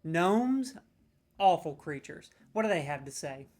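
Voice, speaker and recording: A man's voice: on the high side, unhurried. A man delivers a comedic ranking rant, swearing freely and handing out mock-serious scores. He rambles and stumbles over his words when he gets worked up, with faint music behind him. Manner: stammering restarts when excited. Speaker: comedic judge of game voice lines.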